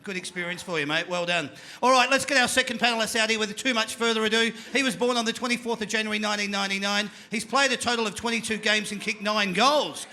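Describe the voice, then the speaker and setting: A man with an Australian accent hosting a live panel show, energetic and jokey, calling out to a crowd in the venue. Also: high voice, fast, hardly any pauses.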